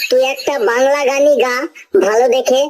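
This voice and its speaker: A performer puts on a deferential cartoon schoolboy. The voice is high and childlike, apologetic and pestering. Clear noise sits on the recording. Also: level tone, moderate pace, close to an everyday voice. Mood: coaxing. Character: pleading, deferential schoolboy friend. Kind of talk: cartoon comedy dialogue.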